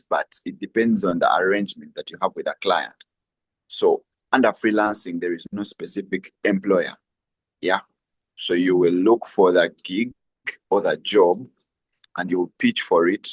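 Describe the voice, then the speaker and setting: A man's voice: low-pitched, breathy edge, no echo. A young Ugandan man speaking as an interview guest, explaining his work with frequent um fillers and hesitations. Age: young adult. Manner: hesitant, many um fillers, laughs lightly. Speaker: guest, lawyer and freelancer. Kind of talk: conversational interview.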